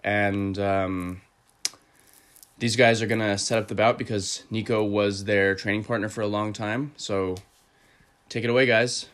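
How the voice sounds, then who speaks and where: A man with a fairly low voice hosts a casual online conversation. He talks in a relaxed, easygoing way, with plenty of fillers. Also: a little slow. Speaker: host. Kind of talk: casual chat.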